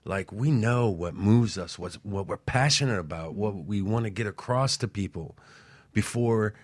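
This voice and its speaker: Deep-voiced earnest man, dry sound. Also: normal speed, no room sound. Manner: earnest, musing, many restarts. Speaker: co-host. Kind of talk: casual radio talk.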